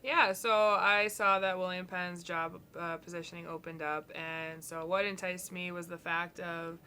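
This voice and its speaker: A young woman with a Minnesotan accent talks about her coaching in an upbeat, chatty way. Her voice is lowish and fairly flat in pitch but bright and sharp. Faint noise hums behind her. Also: a little slow. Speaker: head track coach. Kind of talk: casual interview answers.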